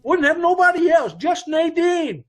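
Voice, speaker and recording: Casting: a man doing a fretful middle-aged dance hall boss, high and lively pitch, rambling and ungrammatical, radio play. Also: a little slow, exaggerated voice. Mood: anxious. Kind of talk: old-time radio drama recreation.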